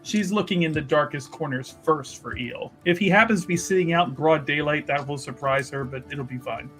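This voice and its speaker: A man's voice: fairly high pitch, fairly quick, fairly bright tone, faint music behind. A man casually narrating his character's moves in a tabletop roleplaying game, with wry banter.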